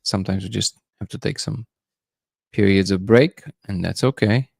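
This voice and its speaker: A man's voice: on the low side, moderate pace, gravelly, darkish tone, breathy edge, dry recording, clean studio sound. A man hosting a casual livestream, musing loosely and greeting viewers by name. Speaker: livestream host.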